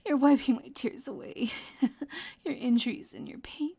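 A woman playing a guilt-ridden, devoted and dangerous lover in an intimate roleplay audio drama, with a breathy, emotional delivery. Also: high voice, moderate pace, mellow, exaggerated voice. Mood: tender, weepy. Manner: breathy, emotional delivery sliding between sobs and whispers. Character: devoted, guilt-ridden female psychopath lover, tearful and clingy.

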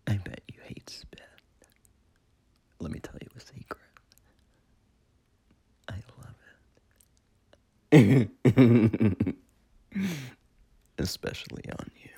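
Man acting a low, husky, whispering domineering lover. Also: warm tone, exaggerated voice. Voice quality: breathy, husky, gravelly whisper. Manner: murmuring in short halting phrases. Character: domineering, menacing male lover. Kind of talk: intimate erotic audio roleplay.

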